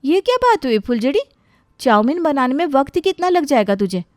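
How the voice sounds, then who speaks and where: Woman as young housewife, high-pitched, exasperated, practical, family story dialogue, dry close sound. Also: very animated, a little fast, no room sound.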